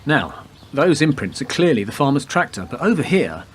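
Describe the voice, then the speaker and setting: An actor playing a teasing, down-to-earth detective sergeant in a radio play, matter-of-fact and bantering. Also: male voice, medium pitch, sing-song, moderate pace, lightly acted. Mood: analytical. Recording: noisy amateur recording with background ambience.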